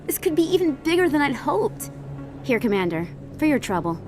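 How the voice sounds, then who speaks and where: A shrewd woman dealing in information in a sci-fi game. Her voice is fairly high, measured and businesslike. Music is prominent in the background. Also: sing-song. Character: shrewd, smooth female information dealer. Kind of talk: video game voice acting. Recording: game dialogue over loud music.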